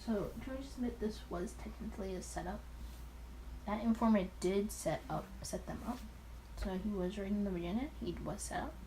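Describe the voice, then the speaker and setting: A woman's voice: mid-range voice, brisk, noisy background. A woman reading a visual novel aloud as a host, with side comments, sometimes stumbling and rereading lines. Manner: stumbles over written lines and rereads them.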